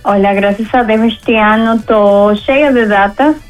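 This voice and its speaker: A woman's voice: mid-range voice, steady tone, a little slow, soft background music, rough recording. A woman from Venezuela giving conversational interview answers as a singer and guest, enthusiastic and grateful in manner.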